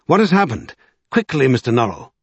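Man acting an impatient magician, husky and very animated. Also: medium pitch, a little fast, no echo, dull audio, voice pushed up. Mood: alarmed. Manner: irritable, demanding. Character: impatient, passionate, self-pitying male magician.

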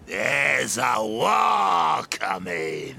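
A man playing a bitter, vengeful orc outcast in an audio drama, harsh and gravelly, hurling drawn-out threats. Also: medium pitch, huge pitch swings, sharp tone, raised voice, faint music behind, exaggerated voice. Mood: bitter, threatening.

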